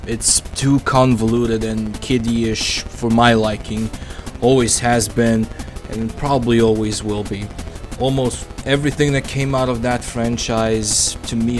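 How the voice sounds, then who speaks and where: A man narrating a casual, opinionated video essay in an informal, wry way with joking asides and some swearing. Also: mid-range voice. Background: background music under the voice-over.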